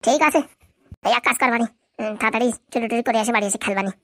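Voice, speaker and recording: A high-voiced, boastful young crook played by a woman in a comedy cartoon. The boy rattles along very fast in brash slang, lazy and cocky, on a dry close recording. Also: dry recording, lightly acted. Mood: whining.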